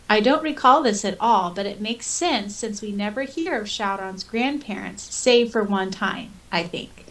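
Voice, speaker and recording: A woman's voice: mid-range voice, fairly bright tone, rough recording. A woman co-hosting a casual fan podcast, chatting and reading listener comments aloud. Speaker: podcast co-host.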